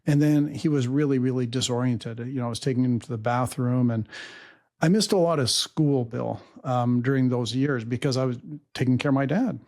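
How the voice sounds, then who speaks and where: Middle-aged guy, german first language, gravelly voice, slow reflective storytelling with lots of ums. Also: medium pitch, fairly even intonation, darkish tone. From Canada. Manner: measured, reflective, many fillers. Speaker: podcast host and guest. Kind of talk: personal storytelling interview.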